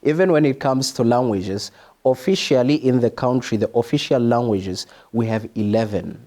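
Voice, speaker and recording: A young South African man, a native Sotho speaker, answers interview questions conversationally in a halting, explanatory way, repeating points for emphasis. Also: mid-range voice, dark tone. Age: young adult. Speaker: podcast guest, international student.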